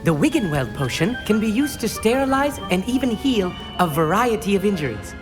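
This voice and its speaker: A woman's voice: medium pitch, very animated, fairly quick, over music, natural voice. A woman voicing an eager, studious young witch student in a video game, formal and precise. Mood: eager, assured. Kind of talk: acted video game dialogue.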